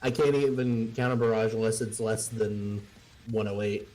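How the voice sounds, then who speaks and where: A man's voice: on the low side, steady tone, normal speed, darkish tone, poor audio. A man chatting casually as a player in a tabletop game. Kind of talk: casual chat.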